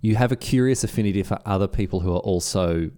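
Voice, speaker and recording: A man co-hosting a podcast, teasing his partner and firing off questions in casual banter. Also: lowish voice. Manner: teasing, quick questions, lively interjections. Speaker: podcast co-host.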